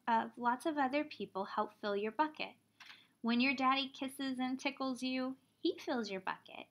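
A woman in her thirties reading a children's picture book aloud like a teacher, expressively, pausing to explain things simply for young children. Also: sits high, a bit bright. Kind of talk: children's storybook read-aloud.

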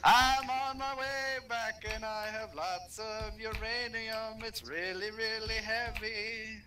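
A man's voice: sits high, fairly even intonation, slow, background noise. A man in casual gaming banter, clowning around in a cheeky, boastful and crude way. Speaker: gaming co-op guest. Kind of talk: casual banter while playing.

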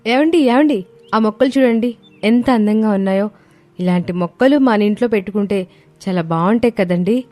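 A woman voicing a polite, eager young Telugu wife in an animated moral story. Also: medium pitch, moderate pace, lightly acted.

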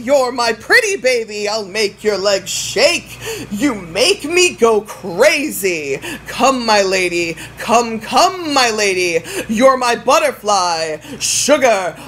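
A woman's voice: a little high, a bit bright. Melodramatic woman with wide-swinging delivery. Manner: theatrical, melodramatic, emphatic pauses. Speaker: guest performer reciting lyrics. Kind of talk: overwrought dramatic recitation of song lyrics. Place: small indoor room at home. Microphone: phone at arm's length. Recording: clear ambient noise, phone recording.

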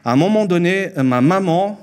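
A man's voice: high voice, animated. Mexican-born man preaching earnestly. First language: Spanish. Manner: earnest, repeats phrases for emphasis, slows down on key lines. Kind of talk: sermon. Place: church hall with a stage. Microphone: handheld mic.

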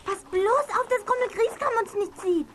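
A woman's voice: extremely high pitch, normal speed, very noisy. A woman playing a worried, questioning young girl in a children's audio play. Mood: doubtful. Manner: anxious, exclaiming.

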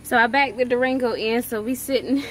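A woman's voice: fairly high pitch, fairly even intonation, brisk, a bit bright, slight background noise. A woman, chatting casually and informally to camera like a family vlogger. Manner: informal, folksy, conversational. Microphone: phone camera at arm's length.

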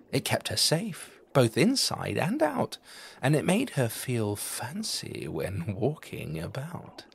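A man narrates a children's picture book with lively, swooping intonation. His pace is unhurried and deliberate, and his voice has a breathy, husky edge. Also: mid-range voice. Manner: deliberate, theatrical storytelling. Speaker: storybook reader. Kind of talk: expressive children's story narration.

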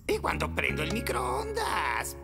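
An actor voicing a dim, excitable creature sidekick in a cartoon, with a creaky voice and a stammering, goofy manner, fawning on a boss. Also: mid-range voice, measured pace, exaggerated voice. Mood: eager, dim. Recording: loud music behind.

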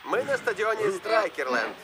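An upbeat cartoon sports commentator. His highish voice moves animatedly in broadcast style, with music behind. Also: big pitch swings, normal speed. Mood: enthusiastic. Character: enthusiastic male football match commentator.

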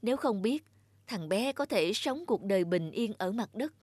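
A woman voicing a loving, wise cartoon mother raindrop in a soft, reassuring manner. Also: medium pitch, animated, moderate pace, breathy edge, no echo, clean studio sound. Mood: worried, thoughtful.

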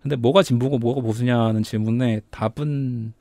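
Mellow, careful male data analyst. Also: mid-range voice, fairly even intonation, dry recording. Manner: careful, hedging, measured. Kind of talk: explanatory podcast talk.

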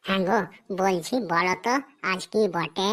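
A woman's voice: medium pitch, moderate pace, fairly bright tone, natural voice. A woman voicing a nagging, demanding, gullible wife in a comedy cartoon, with a theatrical scolding manner and a rural western Bengal accent. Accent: rural western Bengal Bengali dialect. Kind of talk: comic cartoon dialogue.

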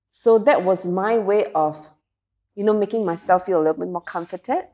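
A woman's voice: mid-range voice, a little mellow. A woman in her forties from Singapore, a guest telling her story in a studio interview with a lapel mic, reflective and earnest, with fillers and restarts. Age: 40s. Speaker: interview guest, emotional resilience speaker. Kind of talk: candid interview conversation. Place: studio set with sofas.

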